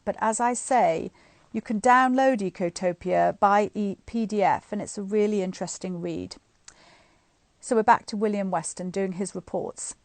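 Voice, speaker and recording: A middle-aged woman reading a book aloud to online listeners in an expressive, informal, chatty way. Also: mid-range voice, no room sound. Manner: informal, chatty asides between expressive read passages. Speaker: guest reader of a bedtime story series. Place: indoors at home, on a live online stream.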